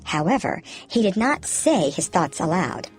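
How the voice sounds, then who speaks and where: Woman narrating a fairy tale, lively intonation. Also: on the low side, a little slow. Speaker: storyteller. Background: background music.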